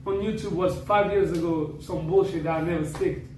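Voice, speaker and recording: Man, high voice, native Riffian Berber speaker, boastful, halting English, very echoey, distant mic. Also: steady tone, a little mellow. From Morocco. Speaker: guest. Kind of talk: casual chat. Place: indoors in a house. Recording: echoey room, mic far off, faint noise.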